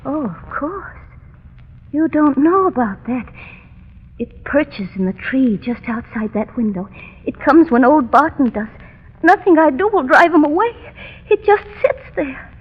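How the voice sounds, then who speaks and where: Scared young heiress, high shaky voice, dull echoey old radio. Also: female voice, lively intonation, unhurried. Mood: frightened. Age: young adult. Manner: anxious, trembling. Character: frightened young heiress thought mad. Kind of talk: 1930s radio drama acting.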